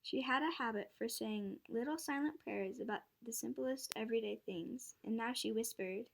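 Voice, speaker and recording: A woman's voice: a little high, normal speed, creaky. A young girl reading scripted narration for a school radio play. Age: child, about 9 or 10. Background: loud chiming music.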